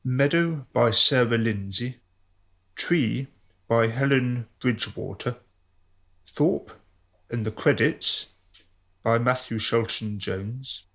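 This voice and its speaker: A man's voice: medium pitch, takes it slowly, long pauses, fairly bright tone. A man reading out scripted programme credits as an announcer.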